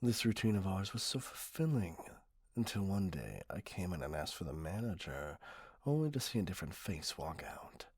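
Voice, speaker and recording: Man acting out a husky, low-voiced obsessive Karen customer. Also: big pitch swings, moderate pace, no echo, heavily acted. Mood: smug, doting. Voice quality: husky, often breathy. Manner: condescending, self-righteous, cloyingly possessive. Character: obsessive, entitled middle-aged yandere customer, a "Karen" parent kidnapper. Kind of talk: ASMR roleplay monologue. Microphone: close, dry microphone.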